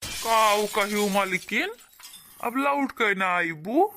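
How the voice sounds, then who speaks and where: Cartoon husband , acted by a man: Awadhi accent, high bright voice, disbelieving, questioning, defensive of his family. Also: animated, takes it slowly, a little hiss or hum, exaggerated voice. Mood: incredulous. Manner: questioning, incredulous. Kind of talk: cartoon dialogue.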